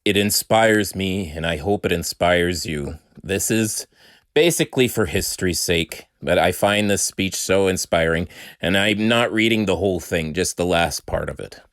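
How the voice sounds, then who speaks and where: A man's voice: low-pitched, a bit bright, dry recording. Man giving a dry, dramatic speech reading. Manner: declamatory, deliberate, emphatic. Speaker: amateur dramatic reader.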